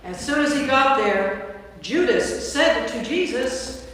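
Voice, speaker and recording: A woman's voice: high-pitched, lively intonation, slow, fairly bright tone. Echoing woman narrating scripture. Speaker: narrator, priest. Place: church, recorded from a distance. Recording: very echoey church, distant mic, faint noise.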